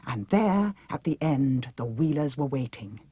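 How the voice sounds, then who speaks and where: A woman's voice: a bass voice, animated, a little slow, dark tone, breathy edge, dry recording, highs cut off. A woman reading a children's audiobook as a dramatic scripted narration. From United Kingdom.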